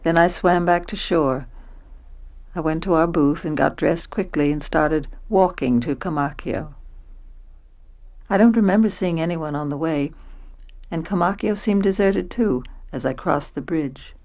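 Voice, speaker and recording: A woman's voice: sits low, a little slow, darkish tone, dull audio. An actress playing an introspective, wondering middle-aged woman who narrates her own story in a radio play, reflective and self-questioning. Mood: wondering, uneasy.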